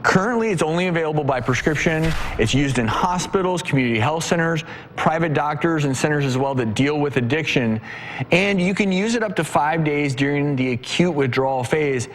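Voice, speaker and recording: A man hosting a health talk show, explaining things in a measured, presenterly way. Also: a little high, slight background noise, rough recording. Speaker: TV show host.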